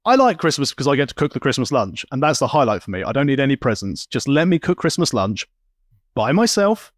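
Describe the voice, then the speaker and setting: A man's voice: medium pitch, fast, no echo, clean studio sound. A man co-hosting a podcast, chatting casually and acting out stories with quoted voices. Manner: animated storyteller, acts out quoted voices. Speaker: podcast co-host. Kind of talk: casual chat.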